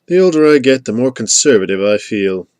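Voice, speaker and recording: A man's voice: mid-range voice, lively intonation, fairly quick, darkish tone, no echo, close to an everyday voice. A man voicing an old male guard dog in an audiobook, weary, plain-spoken and mildly grumbling.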